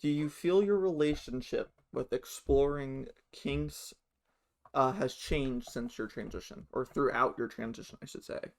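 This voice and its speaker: Young adult podcast host, frank, swears a lot, raspy gravelly edge. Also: medium pitch, a little slow. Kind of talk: casual podcast chat.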